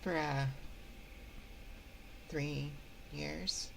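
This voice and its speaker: Woman playing a timid, stammering shadow creature, low-pitched. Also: fairly even intonation, extremely slow, gravelly, a bit bright, a little hiss or hum, exaggerated voice, rough recording. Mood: awkward, sheepish. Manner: nervous, stammering, rambling, apologetic. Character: timid, awkward female shade living under the bed. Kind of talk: ASMR roleplay. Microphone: close binaural microphone.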